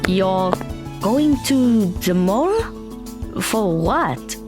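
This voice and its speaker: Audio drama role: sarcastic, bossy teen girl, slow delivery, lively pitch swings. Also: music in the background. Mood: dry, puzzled.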